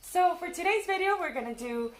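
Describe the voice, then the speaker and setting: A young woman from Cuba co-hosting a casual family video, talking in a lively, expressive way. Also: extremely high pitch, fairly quick. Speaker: co-host of a family channel. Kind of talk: casual chat. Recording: roomy echo, faint music.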